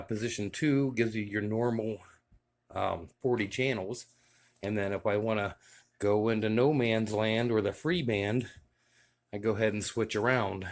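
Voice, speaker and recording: A man casually showing off his radio gear in a relaxed, enthusiastic way, with many fillers. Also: lowish voice. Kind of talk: casual show-and-tell vlog.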